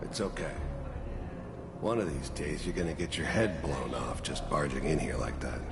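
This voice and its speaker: An actor playing a cynical, hardened middle-aged mercenary in a video game, with a gravelly voice and a wry, world-weary way of confiding. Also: male voice, normal speed. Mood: dry, irritated. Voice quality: gravelly, very deep. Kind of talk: video game character monologue. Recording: echoey hall reverb with background noise.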